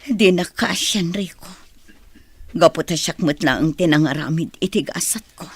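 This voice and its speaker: A plaintive, guilt-ridden Filipino mother, husky. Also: lowish voice, a little slow. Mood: regretful. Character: sorrowful, guilt-ridden mother searching for her child. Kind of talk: Filipino radio drama acting.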